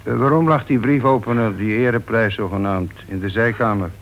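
An actor playing a calm, methodical, paternal police inspector in a Dutch radio play. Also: male voice, on the low side, brisk, natural voice. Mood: calm, probing. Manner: paternal, probing, murmured acknowledgements. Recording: dull, noisy old radio play recording.

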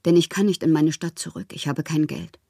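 An actress playing a proud, lovelorn woman poet in a literary radio drama monologue, theatrical and intimate with a husky, breathy voice. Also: sits low, a little fast, warm tone, no room sound, studio quality, full character voice. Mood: wounded, bitter. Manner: theatrical, poetic, intimate, at times whispering. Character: wounded, proud, lovelorn woman poet styling herself a prince.

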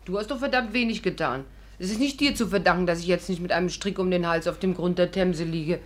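An actress in a 1950s German radio play playing a spirited, sharp-tongued young woman adventurer, feisty and wry. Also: on the low side, fast, lightly acted. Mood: annoyed, wry.

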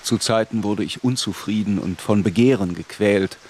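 An actor playing a man recalling his youth, narrating a literary radio drama in a measured, grave, reflective way. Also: on the low side, darkish tone, slight background noise, lightly acted.

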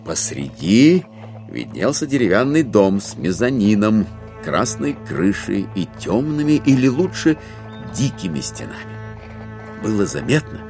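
A man narrating a literary classic for an audio drama in an expressive storytelling manner. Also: on the low side, vocal fry. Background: faint music.

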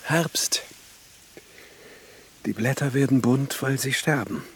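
An actor playing a brooding, philosophical court alchemist in a radio drama, with a husky, breathy voice and formal old-fashioned phrasing. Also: male voice, mid-range voice, takes it slowly, fairly bright tone, full character voice. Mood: bitter, resigned.

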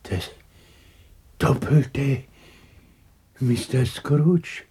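An actor playing a timid, bewildered clerk in a 1960s radio drama, hesitant, stammering and deferential. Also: male voice, medium pitch, drawn-out words. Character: meek, timid, bewildered male office clerk. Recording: faintly noisy 1960s radio recording.

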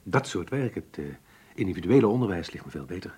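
A man's voice: deep voice, expressive, quick pace, dark tone, slightly rough, heavily acted. A man playing a kindly, fatherly schoolteacher in a radio play, genial and a little hesitant, on a noisy old recording. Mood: encouraging, measured. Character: kindly, older male schoolteacher, fatherly and measured. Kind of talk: Dutch radio play acting.

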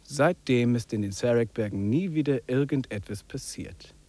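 An actor in a 1950s radio drama playing a wry, contemplative English trekker who muses and drops in English phrases. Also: male voice, medium pitch, moderate pace, dark tone, close to an everyday voice. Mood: musing. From England. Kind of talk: 1950s German radio drama acting, reflective monologue and dialogue.

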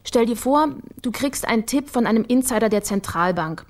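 An actress playing a sharp, methodical investigator for the prosecutor in a radio crime drama, explaining things in a matter-of-fact way. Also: female voice, a little high, expressive, normal speed, close to an everyday voice. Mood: confident explaining. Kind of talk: naturalistic German radio crime drama acting.

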